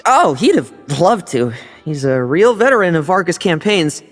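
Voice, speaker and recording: An actor playing a sly, cheerful young knight in a game, breezy and smooth-talking. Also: male voice, sits high, big pitch swings, normal speed, faint music behind.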